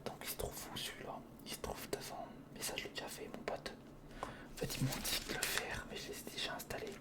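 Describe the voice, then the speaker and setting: A man giving whispered ASMR commentary on a hands-on build, murmuring to himself as he thinks and chatting informally to the viewer. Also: a little fast. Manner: murmurs to himself while thinking through steps; informal, chummy address to the viewer. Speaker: ASMR video host.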